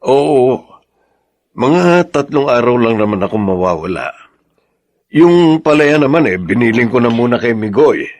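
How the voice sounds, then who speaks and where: A kindly, modest farmhand in a radio drama. His mid-pitched voice moves up and down a lot, earnest and easily flustered. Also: expressive, measured pace, a little mellow. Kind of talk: Filipino radio drama acting.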